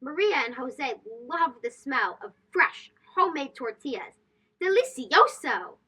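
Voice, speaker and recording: A woman reading a picture book aloud to young children in an expressive, sing-song, emphatic way. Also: high voice, unhurried. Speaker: children's read-aloud host. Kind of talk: animated storybook read-aloud for young children.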